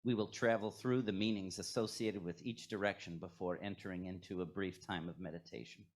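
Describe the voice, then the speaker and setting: A man leading a scripted, poetic guided meditation at a worship service, with measured, reflective phrasing. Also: deep voice, fairly even intonation, darkish tone. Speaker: worship leader at a fellowship.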